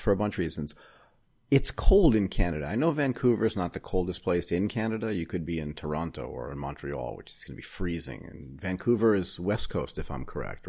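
A man's voice: deep voice, creaky, darkish tone, husky. A man hosting an interview podcast, chatty and asking long, rambling questions. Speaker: podcast host.

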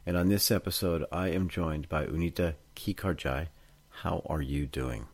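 A man's voice: low-pitched, moderate pace, no echo. A middle-aged man hosting a conversational podcast interview. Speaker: podcast host.

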